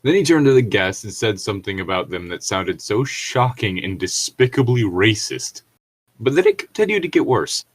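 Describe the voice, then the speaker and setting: Low-pitched man in rambling casual commentary. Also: very animated, normal speed. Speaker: podcast co-host. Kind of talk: casual reading with joking commentary.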